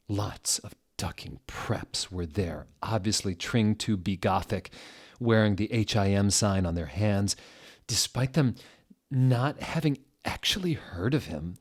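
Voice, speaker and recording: A man narrating fan fiction as a theatrical dramatic reading, with deliberate, mocking delivery. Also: low voice, a little mellow, husky.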